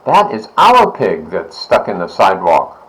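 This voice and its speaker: A man's voice: sits low, wildly swooping pitch, a little slow, a bit bright, exaggerated voice, rough recording. A man voicing an anxious, fond farmer's wife in a storybook, exclaiming and pleading. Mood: shocked.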